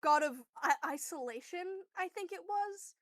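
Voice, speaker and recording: A woman's voice: very high-pitched, animated, normal speed, a bit bright, studio quality. A woman chatting casually and animatedly with friends as a player in a tabletop role-play game, swearing freely. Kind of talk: casual group chat about a game. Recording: roomy echo, music behind.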